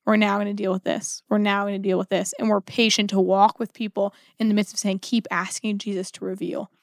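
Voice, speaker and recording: A woman's voice: medium pitch, little pitch movement, a little fast, dry recording, studio quality. A woman co-hosting a podcast, talking earnestly and conversationally about faith, often posing rhetorical questions and voicing imagined quotes. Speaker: podcast co-host. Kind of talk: conversational religious discussion.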